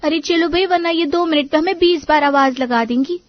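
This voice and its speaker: एक महिला कार्टून कहानी में काम से परेशान, कुड़कुड़ाती जवान बहू का किरदार निभा रही है।. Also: female voice, high voice, brisk, dry recording, dull audio, natural voice. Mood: annoyed. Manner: whiny, complaining. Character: overworked, grumbling young daughter-in-law. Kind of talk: Hindi animated family story acting.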